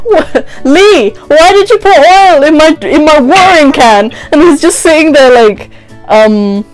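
Animated young woman chatting casually. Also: high-pitched, measured pace, faint music behind, poor audio. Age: 20s. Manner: animated, giggly. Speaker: vlogger, host. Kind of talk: casual chat, storytelling. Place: small bedroom, indoors.

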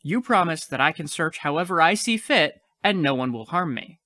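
A man's voice: on the high side, lively intonation, moderate pace, bright, forceful tone, no echo, studio quality. A man playing a frightened but defiant, clever child in an audio play. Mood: wary.

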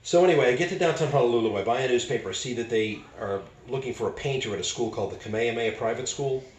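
An older man from Boston, a street preacher, tells his conversion story with animated gestures in a small living room. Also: mid-range voice, very fast. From Boston, United States. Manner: animated, acts out dialogue with hand gestures. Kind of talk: personal testimony storytelling. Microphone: camera a few feet away. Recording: roomy, distant and noisy home video.

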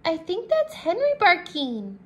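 A woman reading aloud and voicing an eager, excited little girl in a children's storybook. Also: measured pace, full character voice. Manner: high, bright, eager.

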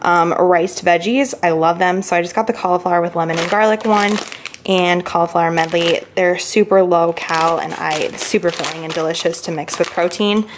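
A woman's voice: lowish voice, steady tone, normal speed, background noise. A young woman vlogger chatting casually and upbeat about her shopping, filmed at home with a handheld camera. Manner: chatty and upbeat, lots of fillers. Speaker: lifestyle vlogger. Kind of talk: casual grocery haul chat. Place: indoors at home, kitchen counter. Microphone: handheld camera at arm's length.

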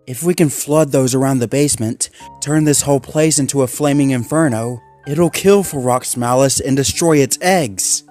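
A man's voice: mid-range voice, normal speed, few pauses, a little mellow, faint music behind, pitched above the performer's own voice, close to an everyday voice. A man voicing a determined young male Labrador dog who talks in an intense, resolute way.

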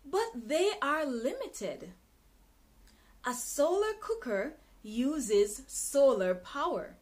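A woman in her thirties reading an English textbook passage aloud in a careful, clearly enunciated teaching style, in a small bright room. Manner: careful, deliberate reading with expressive pitch. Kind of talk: slow, clearly enunciated read-aloud lesson.

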